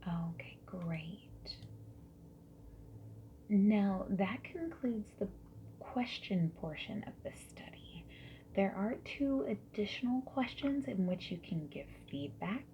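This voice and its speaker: A woman doing a soft-spoken ASMR roleplay as a survey interviewer, gently and politely asking questions in a breathy voice that often drops into whispers. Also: medium pitch, expressive, slow. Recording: lo-fi muffled audio with noise. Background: mechanical keyboard typing.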